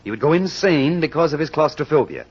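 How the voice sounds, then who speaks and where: An actor playing a wry, unflappable amateur sleuth, calm and playful, in old radio drama style. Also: male voice, a little high, fast talker, slightly rough. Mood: explaining. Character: wry, unflappable male amateur sleuth who dotes on cats. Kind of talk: 1940s radio acting. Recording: muffled vintage radio recording.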